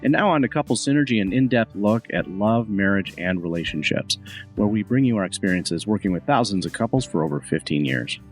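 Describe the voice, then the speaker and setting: Male podcast host, low voice, animated inflection, upbeat, faint music under him. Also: fairly quick. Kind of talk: podcast hosting.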